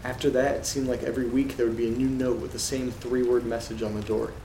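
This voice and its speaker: A man acting in a horror audio drama as an uneasy letter reader, telling things in a subdued, measured way with dramatic pauses. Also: mid-range voice, level tone, dark tone, lightly acted. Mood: somber, ominous. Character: uneasy man reading creepy letters aloud alone. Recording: roomy sound with rain and noise. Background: rain and thunder ambience.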